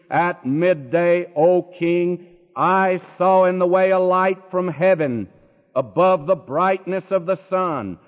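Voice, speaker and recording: A man preaching a sermon dramatically, his voice rising when he quotes scripture, recorded long ago. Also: on the high side. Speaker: pastor. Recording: echoey hall, tinny old recording.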